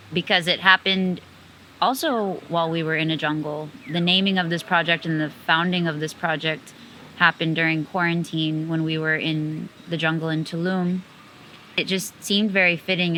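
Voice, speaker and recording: A woman's voice: low voice, pushed and bright, a little hiss or hum, rough recording. A young woman from the United States, a magazine co-founder, talking earnestly and reflectively in an interview, with frequent fillers.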